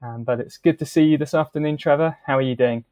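Relaxed podcast host, soft music behind. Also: male voice, fairly high pitch, quick pace. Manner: relaxed, hesitant with fillers. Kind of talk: friendly interview. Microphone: video call.

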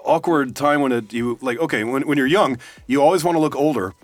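A man's voice: mid-range voice, brisk, no echo. A man co-hosting a podcast in casual chat, blunt and joking, on a studio mic. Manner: blunt, joking, some swearing. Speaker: podcast co-host.

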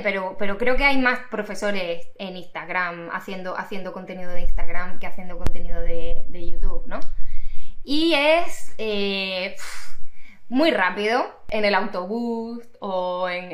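Woman, podcast guest, slow and hesitant delivery, echoey room. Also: mid-range voice, fairly bright tone. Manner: thoughtful, hesitant with many fillers and restarts. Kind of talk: casual podcast conversation.

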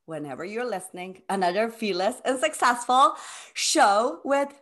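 A woman's voice: on the high side, big pitch swings, moderate pace, fairly bright tone. A woman hosting a coaching podcast, speaking in an effusive, emphatic way as she presents the show and interviews guests. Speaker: podcast host and business coach.

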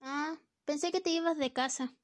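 A woman's voice: high voice, moderate pace, close to an everyday voice. A woman in a student radio play acting a distracted, teasing housewife, with an exaggerated, sing-song delivery. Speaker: radio drama actor.

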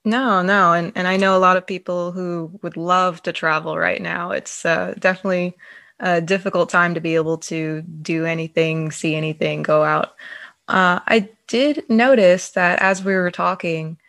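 A woman hosts a podcast interview, slowing down to frame her questions with care. Her voice sits a little low with a gravelly fry. The recording is dry and close. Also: steady tone, fairly bright tone, no echo. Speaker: podcast host. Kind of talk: interview host.

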